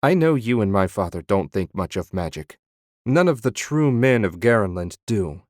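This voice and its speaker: A man's voice: on the low side, sing-song, normal speed, a little mellow, no echo, clean studio sound. A man voicing a sardonic, smug young nobleman who is a wizard in an audiobook.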